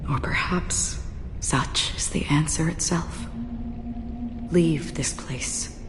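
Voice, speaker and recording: A solemn female watcher who guides lost souls onward in a game cutscene. Her voice sits deep and unhurried, measured and calm, and now and then drops to a soft whisper. Steady rain runs clearly behind her. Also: background noise. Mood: gentle.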